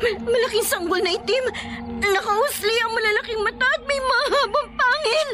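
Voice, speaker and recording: A woman's voice: very high voice, moderate pace. A woman playing a terrified, anxious young wife in a radio horror drama, with panicky exclamations. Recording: loud music behind.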